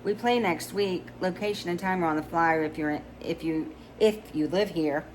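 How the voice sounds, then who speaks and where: A woman's voice: lowish voice, moderate pace, a little mellow, a little hiss or hum, close to an everyday voice, poor audio. A woman reading the lines of a laid-back young male musician in an easygoing, inviting way, close to her own voice.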